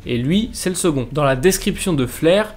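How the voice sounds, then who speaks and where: A man's voice: fairly high pitch, animated, moderate pace. A young man with a French accent, narrating a story to his viewers in a casual, chatty vlog style. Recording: faint music bed behind voice.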